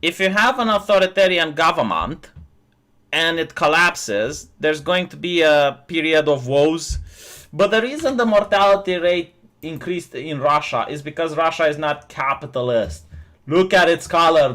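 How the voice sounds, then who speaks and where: Indignant ranting man, bright high voice. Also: expressive, normal speed. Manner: indignant, shouting insults, stammering. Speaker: clipped opponent being rebutted. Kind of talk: heated online rant.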